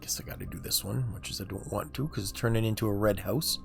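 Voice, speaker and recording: A man streaming a video game, talking through his moves in a low-key, muttering way. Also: quick pace, creaky, over music. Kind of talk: casual gameplay commentary.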